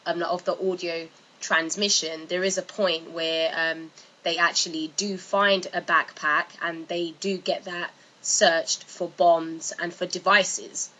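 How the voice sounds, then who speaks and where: A woman's voice: fairly low pitch, flat delivery, slow, sharp tone, poor audio. A woman giving informal explanatory commentary in a casual, loosely phrased way, as a video narrator. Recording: faint background noise.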